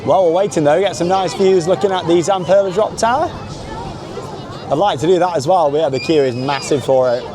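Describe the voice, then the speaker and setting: A man's voice: fairly high pitch, a little fast, over music, crowd noise. A man vlogging casually as he walks around outdoors, chatty and enthusiastic in a rambling running commentary. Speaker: theme park vlogger and host. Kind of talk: casual vlog narration to camera. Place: outdoors at a theme park, walking around.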